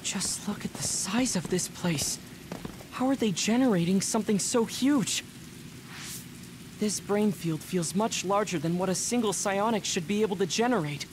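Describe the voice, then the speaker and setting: A woman's voice: mid-range voice, normal speed, background noise, close to an everyday voice. A woman voicing a composed, analytical young soldier in an anime game, controlled and matter-of-fact. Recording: music and rain behind the voice.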